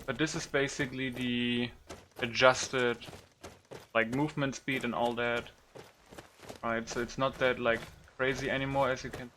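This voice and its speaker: A man's voice: medium pitch, little pitch movement, unhurried, bright, forceful tone, a little hiss or hum. A man giving casual, unscripted gameplay commentary, thinking aloud in an informal way with some mild swearing. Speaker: gaming video creator.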